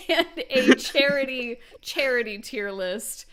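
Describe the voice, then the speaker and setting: A woman's voice: high voice, lively intonation, slow pace, fairly bright tone. A woman hosting a casual interview, talking in a playful, teasing way. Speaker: interviewer and host. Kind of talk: casual joking chat.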